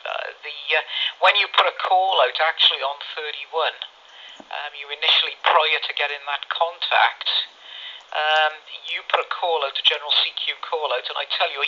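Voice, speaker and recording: A man's voice: a little high, pushed and bright. A man chatting casually over the radio in a rambling, chummy way, with mild swearing. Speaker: amateur CB radio operator. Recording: dull lo-fi radio audio, phone recording.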